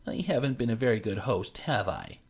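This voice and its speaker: A man voicing a greasy, self-pitying male captor who whines and pleads in a high, tearful voice. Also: big pitch swings, fairly quick, gravelly. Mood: falsely calm.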